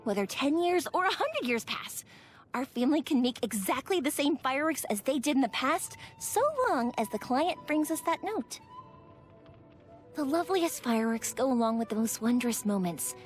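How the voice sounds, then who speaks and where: Cheerful young female fireworks maker, high voice, lively swooping intonation, bubbly, earnest, playful, anime video game character. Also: normal speed. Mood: earnest, wistful. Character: cheerful, playful young woman fireworks maker, warm-hearted festival lover. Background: music.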